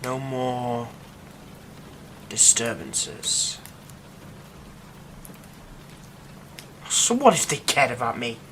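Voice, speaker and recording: A brooding young man who hides a werewolf curse, played by a man in an ASMR roleplay drama. His mid-pitched voice moves slowly and stays hushed, slipping into whispers. Steady rain hisses behind him. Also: rough recording. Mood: bitter. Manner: hushed, often dropping to a whisper.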